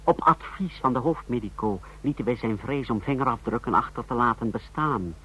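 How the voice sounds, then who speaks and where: An actor playing a loyal, ruthless secret-service subordinate, formal and deferential in radio drama style. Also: male voice, medium pitch, moderate pace, a bit bright, slightly rough. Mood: dutiful. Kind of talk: mid-century European radio drama acting. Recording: dull, lo-fi old radio recording.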